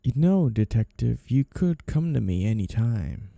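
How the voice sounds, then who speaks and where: Man playing a very dark-voiced, sly mafia boss. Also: medium pitch, big pitch swings, a little slow, gravelly, no room sound, clean studio sound. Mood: flirtatious, teasing. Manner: teasing, insinuating, mock-innocent. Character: smooth flirtatious male mafia boss, sly and two-faced. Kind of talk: ASMR roleplay, noir period-piece monologue to a listener.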